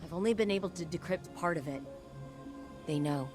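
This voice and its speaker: A low-pitched, earnest scientist, weary and full of regret, acted by a woman. The lines are reflective, scripted video game dialogue, with music playing behind. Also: a little fast, natural voice. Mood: warning.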